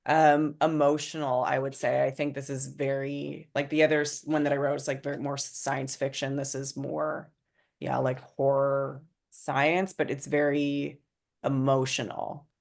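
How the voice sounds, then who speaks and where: A woman screenwriter talking casually about her own work, with informal, halting phrasing. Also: extremely low pitch, level tone, vocal fry, a little mellow. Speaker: screenwriter and director. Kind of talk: casual unscripted talk about own script.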